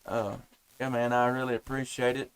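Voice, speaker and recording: A man's voice: medium pitch, flat delivery, normal speed, smooth. A man, laid-back and folksy, chatting casually as a ham radio operator and live streamer. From United States. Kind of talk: casual amateur radio chat. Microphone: headset and boom microphones.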